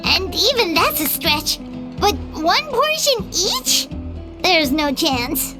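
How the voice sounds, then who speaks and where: Tiny female companion creature, whiny, very high and bouncy, slow-spoken, game cutscene. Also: sing-song, loud music behind the voice, exaggerated voice. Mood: excited. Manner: talks about herself in the third person, whiny. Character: tiny female floating companion, chatty and greedy.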